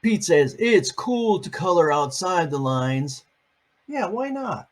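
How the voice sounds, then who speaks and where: A man's voice: high-pitched, big pitch swings, slow. An older man reading a picture book aloud to his grandchildren, adding chatty, playful asides between pages.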